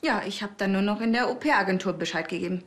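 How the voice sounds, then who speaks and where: A woman's voice: mid-range voice, fast talker, natural voice. An actress playing an unsentimental, defensive mother and employer in a TV crime series. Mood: brisk, cool. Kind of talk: naturalistic TV crime acting.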